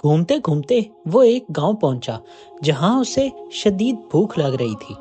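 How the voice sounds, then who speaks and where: Lively storyteller with a bright voice. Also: mid-range voice, a little slow, faint music behind. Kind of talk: scripted narration of a moral folk tale.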